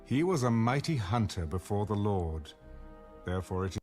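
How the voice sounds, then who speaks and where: A man reading scripture as an audiobook narrator in a measured, solemn manner. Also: on the low side, lively intonation, a little mellow, soft background music.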